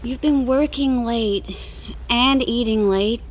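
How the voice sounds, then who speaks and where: An actress playing a caring, frank household attendant in an audio drama, fussing gently in a measured way. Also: female voice, fairly high pitch. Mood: gently teasing.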